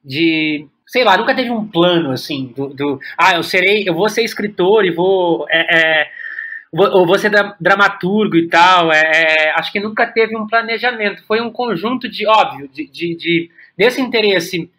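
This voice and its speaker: A Brazilian man with a notably high voice and a bright, forward tone. He talks conversationally, like a guest reflecting in an interview. His phrasing is halting, with repeated words as he thinks aloud. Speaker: podcast guest, playwright and director.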